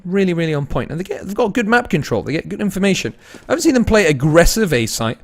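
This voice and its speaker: A young man casting an esports match, laid-back and tired between plays, rising to fast, excited calls when the action heats up. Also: sits high. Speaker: esports caster. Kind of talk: live esports commentary mixed with chatting to viewers.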